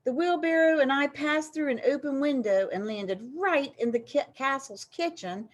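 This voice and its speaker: A woman with a somewhat high voice reads a children's story aloud. Her delivery is expressive and theatrical, leaning into key phrases and acting out the dialogue. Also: normal speed. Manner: animated, acts out dialogue with dramatic emphasis. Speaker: storybook reader.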